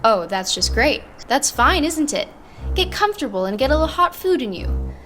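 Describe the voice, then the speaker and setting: A woman's voice: high voice, big pitch swings, moderate pace, a bit bright, a little hiss or hum. A woman voicing an impatient male businessman who complains sarcastically, in a school radio play. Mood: sarcastic, irritated. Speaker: student actor.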